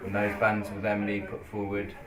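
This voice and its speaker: Laid-back low man, crowd behind. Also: completely flat delivery, normal speed. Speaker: band member. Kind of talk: casual group chat. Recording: echoey, distant, with a crowd behind.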